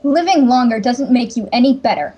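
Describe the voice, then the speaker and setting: A woman voicing a defiant, indignant eighteen-year-old champion with a breathy, challenging delivery in a game fandub. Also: a little high, lively intonation, a little fast, dull audio, soft background music. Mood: scornful, angry. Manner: challenging, scornful.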